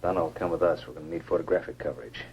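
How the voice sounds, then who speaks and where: Very deep-voiced, stern American leader. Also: male voice, very fast, lo-fi sound. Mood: commanding. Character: stern, pragmatic man leading a monster hunt. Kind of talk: 1950s science fiction film acting. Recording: hissy, humming 1950s film soundtrack.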